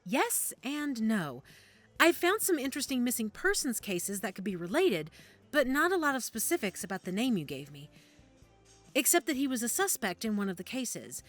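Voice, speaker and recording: A woman's voice: fairly high pitch, sing-song, normal speed. A woman acting in an audio drama as a cautious, helpful medical examiner, with a conversational, slightly hesitant manner. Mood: matter-of-fact. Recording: music under the dialogue.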